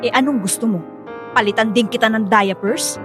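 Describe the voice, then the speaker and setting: Woman acting as young Filipino wife, high voice, protective, defensive, radio drama, background music. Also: moderate pace, close to an everyday voice. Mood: defensive, annoyed.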